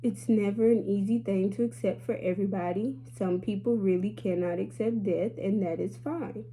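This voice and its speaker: A woman in her late twenties chats openly with her audience in a relaxed vlog. Her voice is mellow and warm, her delivery sassy and confiding. A faint hum sits behind her. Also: mid-range voice, normal speed, poor audio. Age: 20s. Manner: chatty, candid and sassy, addressing viewers as y'all. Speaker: beauty vlogger. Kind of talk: casual chatty vlog talk.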